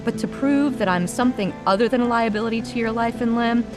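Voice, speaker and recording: A woman's voice: a little high, quick pace, over music, close to an everyday voice. An actress playing a resourceful, optimistic woman adventurer with a bantering, wry manner in an audio drama. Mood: wry, upbeat.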